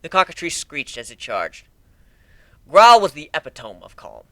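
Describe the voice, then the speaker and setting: A man giving an expressive, wry dramatic reading of a first-person story as an amateur narrator. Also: on the high side, moderate pace, heavy background noise.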